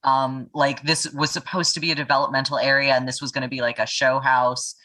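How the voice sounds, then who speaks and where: A woman tells an improvised tabletop game story in a notably low voice with a bright, crisp edge. She talks casually and thinks out loud, feeling her way from phrase to phrase. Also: level tone, a little fast. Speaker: tabletop role-playing game player.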